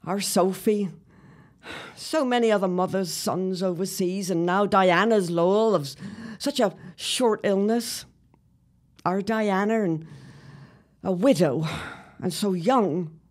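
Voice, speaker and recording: A woman acting as a sharp-tongued wife and mother in a period audio drama, speaking bluntly and emphatically. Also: mid-range voice, slow, lightly acted. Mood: grave, mournful.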